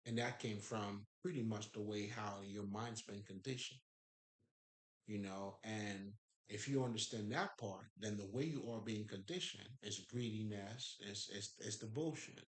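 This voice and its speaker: A man's voice: lowish voice, measured pace. Haitian-accented man in an echoey room. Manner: loose, profane, emphatic, laughs often. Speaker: spiritual speaker. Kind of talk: casual spiritual talk.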